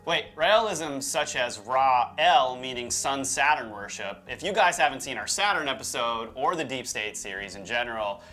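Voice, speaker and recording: Bright-voiced man, informal podcast co-host. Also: medium pitch, lively intonation, moderate pace, hardly any pauses, soft background music. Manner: informal, jokey, slangy. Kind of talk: casual banter and commentary.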